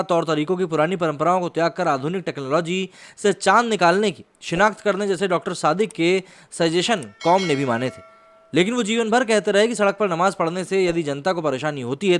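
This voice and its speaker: Male commentator, notably high voice, emphatic and rhetorical, presenting scripted news analysis. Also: a bit bright.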